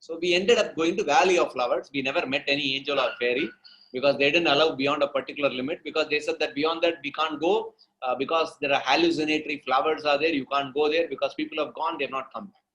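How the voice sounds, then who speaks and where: Male storytelling coach, Indian accent, somewhat high voice, explains emphatically and repeats himself for stress in relaxed interview talk. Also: fast talker, poor audio. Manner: emphatic teaching, repeats phrases, checks with "okay" and "right". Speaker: guest speaker, storytelling coach. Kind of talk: conversational interview answers with anecdotes.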